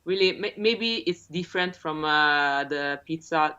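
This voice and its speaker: A woman's voice: low voice, measured pace, pushed and bright, smooth. Laughing Italian woman on a lo-fi call. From Rome, Italy. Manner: laughs often, hesitant with many fillers. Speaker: guest bassist. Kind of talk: casual interview over video call. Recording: lo-fi dull call audio.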